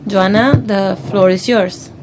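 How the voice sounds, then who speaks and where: Lady doing live conference interpreting, halting, lots of little pauses and uhs. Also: mid-range voice, fairly even intonation, noisy background. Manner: halting, pausing mid-phrase with fillers. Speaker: simultaneous interpreter.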